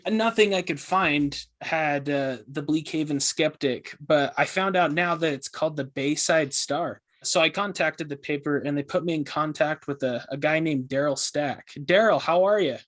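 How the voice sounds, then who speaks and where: A man playing an eager, curious podcast host who asks questions in an earnest, excitable, conversational way. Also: on the high side, normal speed, few pauses, close to an everyday voice. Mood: upbeat. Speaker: podcast host interviewing a guest. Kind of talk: conversational scripted podcast interview.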